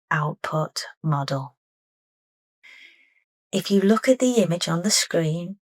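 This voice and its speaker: A woman leading a guided meditation with soft, unhurried, deliberate phrasing. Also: on the low side, no echo.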